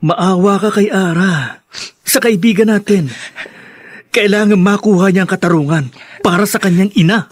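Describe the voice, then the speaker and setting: A man playing an earnest, supportive young friend with a husky voice in a Filipino radio drama. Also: high voice, normal speed, long pauses, dry recording. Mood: earnest urging.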